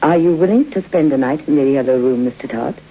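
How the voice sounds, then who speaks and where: An actress playing a genteel, knowing middle-aged widow in a vintage radio suspense play. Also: female voice, a bass voice, fairly quick, slight background noise. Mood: ominous, knowing. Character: genteel, eerie middle-aged widow hostess, coyly hospitable. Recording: muffled tinny old radio broadcast.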